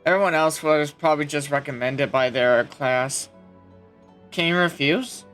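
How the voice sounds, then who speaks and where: A man's voice: fairly high pitch, a little slow, a bit bright. A man giving casual commentary as he plays through a video game. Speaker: streamer playing a game. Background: game music.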